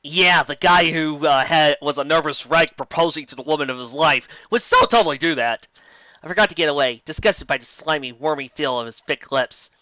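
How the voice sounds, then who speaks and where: A man giving a sarcastic, theatrical dramatic reading of fan fiction and breaking in with excitable commentary. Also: a little high, brisk, dry recording, muffled recording.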